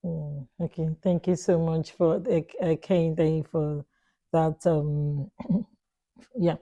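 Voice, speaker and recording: Woman, podcast host, low pitch, mellow tone, hesitant, repetitive. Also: fairly even intonation, slow. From Nigeria. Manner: repetitive, hesitant with many fillers. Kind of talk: casual panel discussion.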